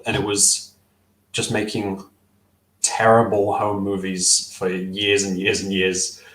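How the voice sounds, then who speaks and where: Young guy on a headset call, lowish voice, lots of ums and restarts, roomy echo. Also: monotone, slow, a bit bright. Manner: thoughtful, hesitant, many fillers and restarts. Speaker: stop-motion filmmaker guest. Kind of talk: casual interview answers. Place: small room at home. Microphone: headset on video call.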